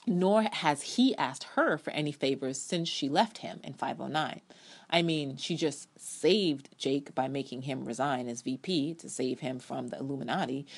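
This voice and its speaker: A woman's voice: low voice, a little mellow. An American woman giving an emphatic, sassy, theatrical reading of her own opinionated written review, with off-the-cuff ad libs.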